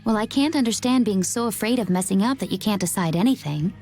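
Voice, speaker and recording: A woman's voice: mid-range voice, animated, quick pace, a little mellow, faint music behind, close to an everyday voice. An actress playing a cool, self-assured woman in a video game, with a composed and frank delivery. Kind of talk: game voice acting.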